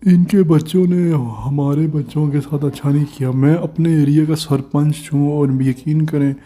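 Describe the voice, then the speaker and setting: A man's voice: a little high, steady tone, normal speed, mellow, lightly acted. A man voicing an indignant, lecturing village headman in an amateur cartoon. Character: indignant village headman scolding parents of a delinquent boy. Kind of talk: amateur cartoon voice acting in Urdu.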